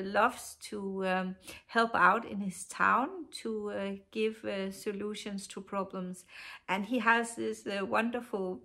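Woman, Danish accent, middle-aged, slow pace, gravelly voice, casual podcast chat, home sofa. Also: medium pitch, fairly bright tone. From Denmark. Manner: slow, thoughtful, many pauses and filler sounds. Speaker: knitting podcast host. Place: living room, seated on a sofa.

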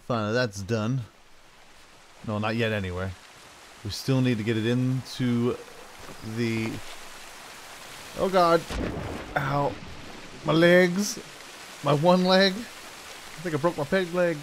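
A man's voice: mid-range voice, slow, pauses often, slight background noise. A man hosting a gaming video, thinking aloud in casual, playful commentary with frequent exclamations. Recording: game music under the voice. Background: game music.

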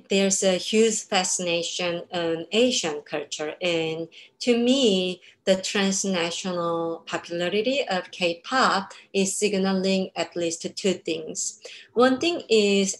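Korean-accented woman, 30s, academic presenter on a video call; lowish voice, measured delivery. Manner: measured, with frequent ums. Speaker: academic speaker. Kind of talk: webinar lecture. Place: home room. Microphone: laptop webcam on a video call.

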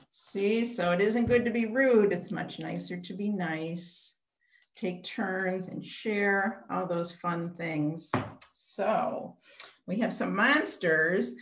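An older woman, a children's librarian, reading aloud and counting along in simple language aimed at young children over a video call. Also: lowish voice. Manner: simple, child-directed, asks counting questions. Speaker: children's librarian hosting storytime. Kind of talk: children's storytime read-aloud and counting rhymes. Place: small room at home, indoors. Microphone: computer mic on a video call. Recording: roomy, echoey home video-call audio.

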